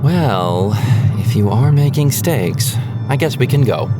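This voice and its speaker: A man acting a calm, reassuring husband in an audio drama, with loud music. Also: mid-range voice, takes it slowly. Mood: agreeable.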